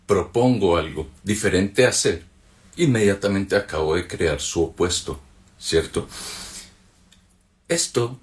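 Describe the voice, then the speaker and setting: A Colombian man with a very low voice walks through a spiritual text in an easy conversational way. He keeps checking in with short rhetorical questions as he explains. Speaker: spiritual teacher. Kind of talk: reflective spoken commentary on a text.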